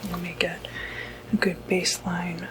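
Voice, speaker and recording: A woman playing a calm, quietly puzzled doctor in an ASMR medical roleplay, whispering breathily and hesitantly. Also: fairly low pitch, a little hiss or hum, close to an everyday voice, low-quality recording. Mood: calm, businesslike. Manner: hesitant, halting, murmured asides. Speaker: ASMR performer.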